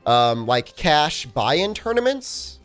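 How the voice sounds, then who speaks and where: Phlegmy young streamer guy, kinda high voice that bounces around a lot, rambly chat. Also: sing-song, slow, a bit bright, faint music behind. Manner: rambling, lots of "I mean" and "like". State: phlegmy with a cold. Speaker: speedrun streamer. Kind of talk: casual chat with stream chat while playing.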